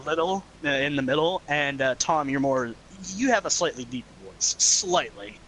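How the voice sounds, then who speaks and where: A young man chatting excitably and swearing freely while co-commentating a game stream, breaking into silly voices. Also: medium pitch, moderate pace, slight background noise, rough recording. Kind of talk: casual gaming chat.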